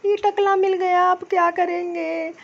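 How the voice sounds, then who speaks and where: A tiny, extremely high voice for a vain cartoon young woman acted by a woman. She is fussy and scornful, complaining in theatrical, exclamatory asides. The sound is somewhat dull and lo-fi. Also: flat delivery, unhurried. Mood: indignant, dismayed. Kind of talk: Hindi comedy cartoon dialogue.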